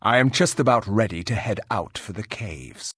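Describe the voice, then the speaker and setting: A rude warrior hero for a fantasy game. His husky voice is formal and deadpan, yet moves widely in pitch. The sound is dry and studio-close, with a dismissive, sardonic edge. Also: moderate pace, no room sound. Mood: grim defiance. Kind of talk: video game voice acting.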